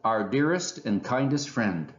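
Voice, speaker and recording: A man's voice: mid-range voice, very animated, unhurried, reverberant, highs cut off, a little hiss or hum. A man voicing a pompous old male owl lecturer in a children's storybook, commanding and oratorical, with dramatic flair. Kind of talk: children's storybook character reading.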